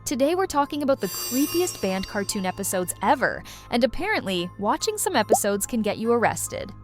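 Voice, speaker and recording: A woman's voice: on the high side, very animated, a little fast, over music. A woman hosting a video with casual, playful, exaggerated commentary for young viewers. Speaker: host of a reaction video channel.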